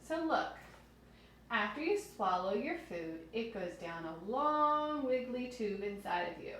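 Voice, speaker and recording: A woman's voice: on the high side, unhurried. Woman reading to children in an echoey room. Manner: expressive, explains simply with questions to young listeners. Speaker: teacher reading aloud to young children. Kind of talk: children's read-aloud lesson with asides. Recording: very echoey room with faint noise.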